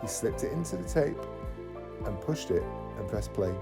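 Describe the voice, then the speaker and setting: A man narrating a children's bedtime story in an expressive storytelling manner. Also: on the low side, moderate pace, gravelly. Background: faint music.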